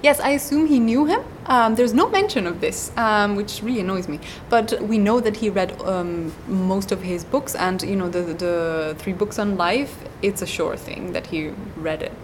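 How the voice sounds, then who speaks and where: A woman guest on an academic interview, explaining at length and pausing often with ums and uhs. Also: medium pitch, slight background noise. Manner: hesitant, many ums and uhs. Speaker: guest scholar.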